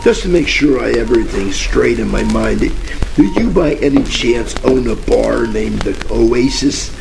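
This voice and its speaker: Hard-boiled parody detective, male actor: gravelly, dazed, dry and rambling, vintage radio comedy with light music underneath. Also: medium pitch, animated, unhurried. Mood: dry, bemused. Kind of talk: 1940s radio detective parody acting and narration. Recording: faint music, old radio crackle.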